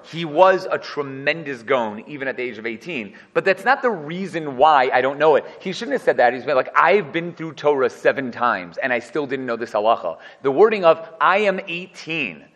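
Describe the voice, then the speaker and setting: A man's voice: on the high side, animated, normal speed, hardly any pauses, fairly bright tone, big-room sound. A man giving an informal, joking Torah class and putting questions to his students. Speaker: Torah teacher.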